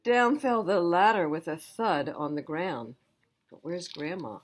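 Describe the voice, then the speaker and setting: A woman's voice: lowish voice, unhurried, slight background noise. A woman reading a picture book aloud at storytime, emphatic and speaking directly to young listeners. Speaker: library storytime reader. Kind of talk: children's read-aloud storytelling with asides.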